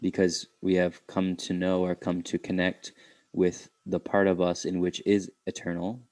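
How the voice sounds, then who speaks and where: A man leading an unscripted guided meditation, speaking reflectively in halting phrases with pauses. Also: low-pitched, monotone, darkish tone, no echo.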